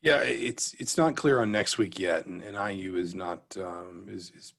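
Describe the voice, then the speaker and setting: Very deep-voiced American sports reporter, man. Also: unhurried, gravelly, a bit bright. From Atlanta, Georgia, US. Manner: reflective, hedging, circles back mid-sentence. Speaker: guest sports reporter, newspaper beat writer. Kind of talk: interview answers, sports talk.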